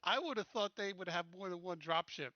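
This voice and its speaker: A man's voice: high voice, normal speed, bright, forceful tone, no echo, highs cut off. A man chatting casually as a player in a tabletop game.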